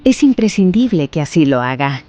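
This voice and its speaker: A woman's voice: sits low, sing-song, normal speed, dark tone. A woman playing a brave, devoted, learned young daughter in a dramatized audio story, with an earnest theatrical delivery. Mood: resolute, pleading.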